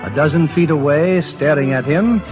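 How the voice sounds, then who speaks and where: Guy narrating an old radio play, highish voice, dull recording with music behind. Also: normal speed. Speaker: radio drama host and narrator. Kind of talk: 1940s scripted dramatic narration.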